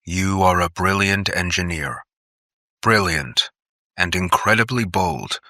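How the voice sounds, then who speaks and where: A man voicing a cautious male doctor in an audiobook, measured and smooth, gently admonishing. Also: deep voice, dry recording, studio quality, exaggerated voice.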